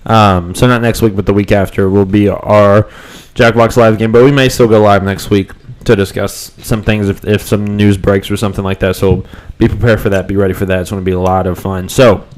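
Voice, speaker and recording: Smooth low-voiced man with a cold. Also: brisk, hardly any pauses. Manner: relaxed and rambling, with many ums and restarts. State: voice cutting in and out from a cold. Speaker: co-host of a fan podcast live stream. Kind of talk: casual live-stream chat.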